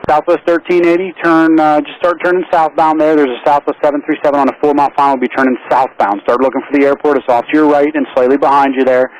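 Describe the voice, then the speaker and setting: A man working as an air traffic controller, giving calm, accommodating, businesslike instructions to pilots over aviation radio. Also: a little high, quick pace, few pauses, muffled recording.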